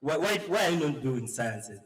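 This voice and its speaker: A man's voice: mid-range voice, big pitch swings, normal speed, long reverb tail. A man, a TV presenter interviewing students at a school event in a playful, teasing, sing-song way. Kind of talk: casual on-the-spot interview.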